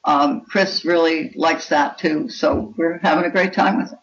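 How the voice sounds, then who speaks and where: Older woman, low-voiced, on lo-fi audio. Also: a little slow. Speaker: guest author. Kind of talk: conversational interview answers.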